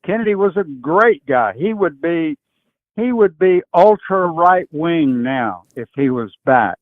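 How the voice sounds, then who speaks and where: Male caller in his eighties, higher-pitched, halting, opinionated political talk over a thin phone line. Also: animated, dry recording. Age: 80s. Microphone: phone call.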